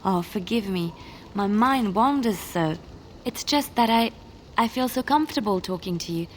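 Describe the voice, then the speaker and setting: A woman's voice: mid-range voice, lively intonation, moderate pace, slight background noise, natural voice. Actress as sweet, chatty young woman companion. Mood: earnest, tender. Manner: earnest, rambling, affectionate. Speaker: video game companion character. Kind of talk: video game dialogue acting.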